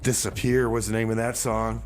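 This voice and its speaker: A man's voice: lowish voice, flat delivery, normal speed. A man hosting a community radio music show in a casual, laid-back, skeptical manner with comic banter.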